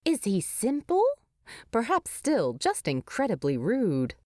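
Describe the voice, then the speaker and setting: A woman narrates a story at an unhurried pace. Her pitch moves widely and expressively across phrases. The sound is dry, with very little room. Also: wildly swooping pitch, darkish tone, no echo. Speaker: narrator of fan fiction.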